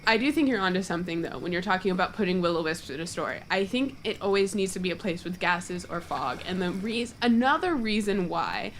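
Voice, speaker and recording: Female podcast guest, lowish pitch, informal and conversational, thinking aloud in a panel chat. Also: expressive, a little fast, few pauses, slight background noise. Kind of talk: casual panel discussion.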